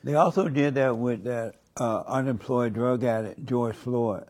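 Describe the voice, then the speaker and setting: A man's voice: mid-range voice, unhurried, a little mellow. An older man hosting a talk show, asking blunt and provocative interview questions.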